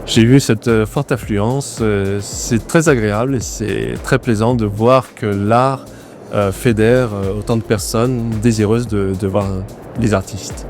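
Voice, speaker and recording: Artist guy being interviewed, pauses and fillers, soft music in back. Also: lowish voice, fairly even intonation. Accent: no regional accent. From born in Argentina, raised in France. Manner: thoughtful, hesitant with fillers. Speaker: exhibiting artist, interview guest. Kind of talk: casual interview at an art fair.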